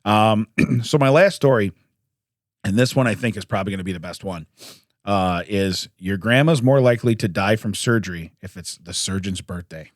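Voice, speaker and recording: A man, a podcast co-host, telling odd news stories in a casual, jokey way with chuckles and throat-clearing. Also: lowish voice, moderate pace, dry recording, studio quality.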